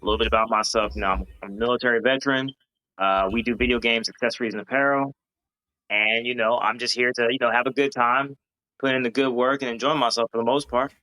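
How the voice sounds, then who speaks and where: Bright-voiced man, earnest and rambling. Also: medium pitch, steady tone, fast talker, poor audio. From Hawaii. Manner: earnest, rambling, many fillers and restarts. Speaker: guest, founder of a gaming company. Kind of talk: casual podcast interview.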